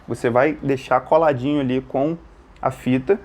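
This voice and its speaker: A man's voice: medium pitch, steady tone, moderate pace, a little mellow. A man with a Brazilian accent giving an informal step-by-step gear tutorial, explaining as he works and often checking in with short tag questions. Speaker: motorcycle gear tutorial presenter. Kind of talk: informal step-by-step hands-on instruction.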